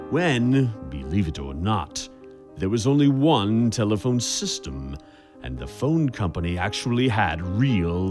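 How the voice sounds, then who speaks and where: Guy doing a creepy old-time radio host, low slow voice, very dramatic swings, music behind him. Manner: dramatic, deliberate, drawn-out phrasing. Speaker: narrator host of a suspense radio play.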